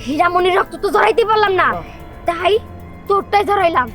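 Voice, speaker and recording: A woman's voice: very high-pitched, fairly quick, a bit bright, faint music behind. A woman voicing a hot-tempered, violent henchwoman in a cartoon drama. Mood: agitated, angry.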